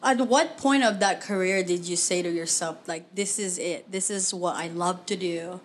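A woman's voice: on the low side, moderate pace. A woman hosting an interview, asking questions in a chatty, encouraging way. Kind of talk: conversational interview.

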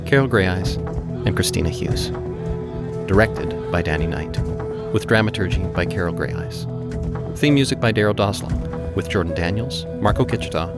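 Low-voiced man announcing over loud music. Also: lively intonation, moderate pace. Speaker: announcer and sound designer. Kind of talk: scripted credits narration.

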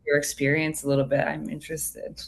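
A woman's voice: deep voice, level tone, a little fast. A woman speaking as a therapist guest in a casual interview, earnest, informal and reflective. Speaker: hypnotherapist guest.

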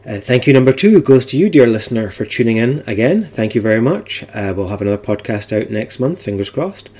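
A man's voice: medium pitch, animated, brisk, mellow. A man hosting a podcast, talking informally in a wry, playful and chatty way. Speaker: podcast host.

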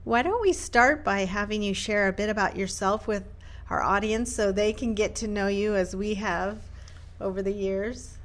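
A woman's voice: mid-range voice, moderate pace. Upbeat woman hosting an interview. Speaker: host, institute president. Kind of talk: interview introduction.

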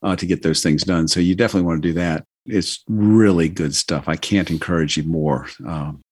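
A man's voice: low-pitched, a little fast, darkish tone, breathy edge. An older man giving financial advice as a podcast guest, in a casual, earnest, folksy and loosely phrased way.

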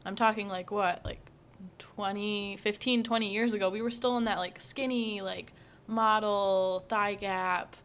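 Animated young woman, laughing storyteller. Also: medium pitch, unhurried. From United States, Iranian parents. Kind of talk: casual chat.

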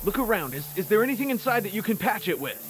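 A man's voice: high voice, big pitch swings, fast talker, over music. A man playing a terse, commanding starship officer who speaks urgently over the comm in an audio drama. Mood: firm, urgent. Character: brisk, urgent male starship officer, rival crewmate.

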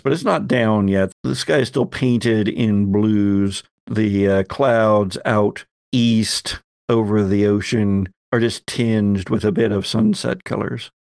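A man narrating a tabletop roleplaying game as game master, pausing and deliberate as he sets out scenes and questions. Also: fairly low pitch, darkish tone, smooth, dry recording, studio quality.

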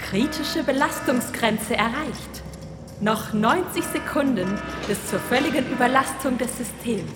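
A woman voices an impassive computer announcing system warnings in an audio drama. The delivery is measured and impersonal, fairly high, with strong echo and music underneath. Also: expressive. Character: impassive female computer announcer counting down to overload. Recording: echoing announcement over music.

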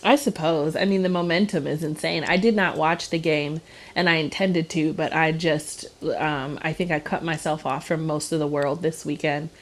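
A woman co-hosts a casual podcast. Her voice sits a bit low for a woman. She rambles in a loose, giggly way, full of fillers. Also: steady tone, normal speed. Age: 30s. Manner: chatty, giggly, heavy on fillers. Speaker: podcast co-host. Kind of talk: casual chat.

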